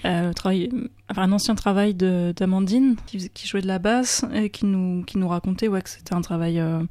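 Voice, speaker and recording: A young woman with a mellow, warm tone talks through her band's songs and her art work in a relaxed way. The sound is dry, with no room around her voice. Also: mid-range voice, fairly quick, no room sound. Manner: relaxed, explanatory with soft laughs. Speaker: band member, interview guest. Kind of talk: casual radio interview.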